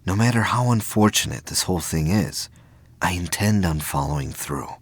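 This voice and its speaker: A man's voice: low voice, expressive, breathy edge, dry recording. Man playing an earnest, troubled young space cadet. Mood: urgent, earnest. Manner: halting, urgent, earnest.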